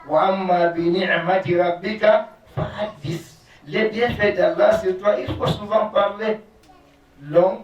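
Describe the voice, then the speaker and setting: A fairly high-voiced male preacher whose first language is Arabic recites prayers at a brisk clip, then explains them in slow, halting French. He is heard from across the room, with a roomy echo and a dull, muffled sound. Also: far from the mic. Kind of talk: sermon.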